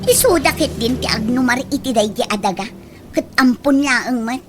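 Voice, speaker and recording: Woman doing an entitled greedy daughter, filipino accent, high voice, radio drama, slight noise behind. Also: moderate pace, natural voice. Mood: scheming. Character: grasping, entitled grown daughter ordering elders around. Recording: noticeable background noise.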